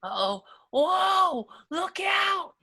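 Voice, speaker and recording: A man voicing a brash, excitable talking cartoon car with a raspy voice in an amateur script read. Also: very high-pitched, drawn-out words, exaggerated voice. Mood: panicked. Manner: exclamatory, wisecracking.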